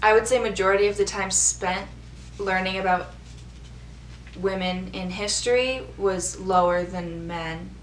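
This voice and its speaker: A woman's voice: medium pitch, steady tone, unhurried. A woman in her twenties answers in a matter-of-fact way. She sits back from the mic, so her voice sounds distant and echoey. A faint hum sits behind her. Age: 20s. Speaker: interviewee. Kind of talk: casual interview answers.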